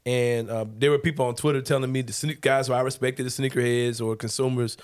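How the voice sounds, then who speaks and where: A man's voice: medium pitch, steady tone, fairly quick, clean tone. A man in his forties hosts a casual sports talk show. He talks in a laid-back way, full of slang and teasing. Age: 40s. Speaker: podcast host.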